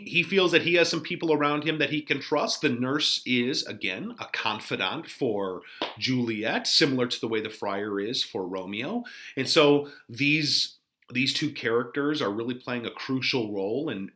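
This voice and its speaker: A man's voice: lowish voice, bright, forceful tone. A man teaching literature, giving informal explanatory commentary and putting things in everyday words.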